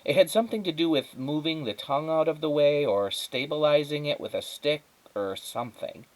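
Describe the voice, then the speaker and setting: A man reading a children's story aloud as a teacher, in expressive narration. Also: fairly high pitch, brisk, low-quality recording.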